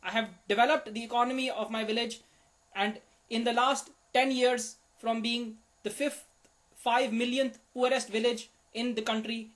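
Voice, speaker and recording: A man's voice: very high-pitched, a bit bright. A man doing Sardi, a pompous Indian village economist. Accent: Indian English. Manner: pompous, boastful, formal phrasing. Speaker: comic show host. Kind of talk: deadpan comic monologue.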